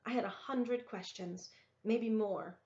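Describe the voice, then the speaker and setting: A woman's voice: a little high, takes it slowly, dark tone, echoey room. A woman reading a first-person story aloud as a storybook narrator. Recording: slightly dull lo-fi recording.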